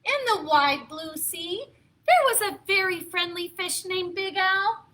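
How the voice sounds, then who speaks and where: A woman's voice: very high-pitched, measured pace. A woman hosting a children's storytime, reading aloud in an exaggerated, playful, theatrical way. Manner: exaggerated, playful, expressive delivery. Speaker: children's storytime host and reader.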